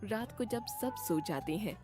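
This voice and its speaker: A woman's voice: mid-range voice, animated, measured pace. Woman narrating a story over music. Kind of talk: scripted children's story narration.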